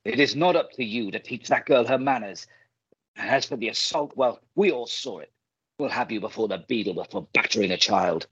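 A man playing an earnest, righteous, protective gentleman in a radio play, speaking formally and theatrically. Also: fairly low pitch, moderate pace, breathy edge, dry recording, highs cut off. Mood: indignant.

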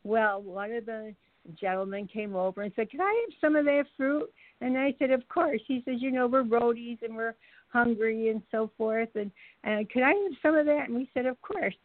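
An older woman telling stories casually as a podcast guest, speaking haltingly and searching for her words. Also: a little high, no echo. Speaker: podcast guest, mother of the host. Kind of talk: casual anecdotal storytelling. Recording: thin phone-like line.

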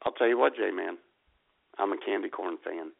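A man's voice: low-pitched, normal speed, creaky. A man co-hosting a comedic radio show, trading playful banter and slipping into mock grumpy voices. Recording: phone-like radio audio.

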